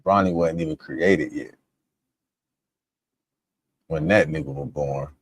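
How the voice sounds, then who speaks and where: A man with a rumbling, very low voice and a husky edge talks through his reactions on a live stream. He is loose and profane, thinking out loud between clips. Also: slow pace. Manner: laid-back, profane, musing aloud. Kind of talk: casual reaction stream commentary.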